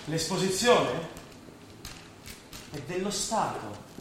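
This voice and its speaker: Stubborn refugee camp leader: high-pitched, guarded, terse theatre delivery, distant in a very echoey hall. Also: male voice, sing-song, slow, exaggerated voice. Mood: wary. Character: wary, stubborn man in charge of a refugee camp. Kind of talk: theatrical stage drama acting. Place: indoor stage of a theatre. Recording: very echoey stage, distant mic, faint noise.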